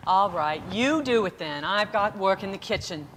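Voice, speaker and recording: A woman's voice: mid-range voice, lively intonation, moderate pace, fairly bright tone, natural voice. A woman playing a sensible, exasperated, long-suffering wife in an old-time radio comedy, scolding with dry patience. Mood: weary. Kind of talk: 1940s radio comedy acting. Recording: roomy hall reverb, noisy background.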